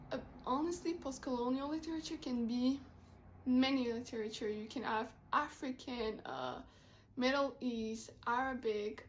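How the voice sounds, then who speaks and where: A woman's voice: a little high, a little mellow, a little hiss or hum. Chatty young Québécois woman vlogging. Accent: Québécois French. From Quebec. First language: French. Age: 20s. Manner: chatty, many fillers and restarts. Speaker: book vlogger. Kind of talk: casual chat to camera. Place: home room.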